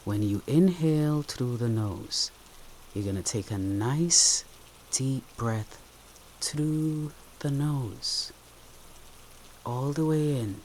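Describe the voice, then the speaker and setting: A meditation guide speaking gently and soothingly in short phrases with long pauses, leading a guided relaxation. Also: mid-range voice, a little hiss or hum. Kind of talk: guided meditation, soft instructional narration. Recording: steady rain sound behind the voice. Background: rain.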